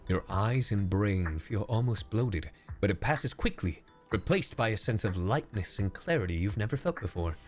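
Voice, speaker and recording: A man's voice: fairly low pitch, lively intonation, normal speed. A man streaming a video game with casual, playful commentary, sometimes reading text aloud. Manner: playful, profane, hums to himself. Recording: game music behind the voice.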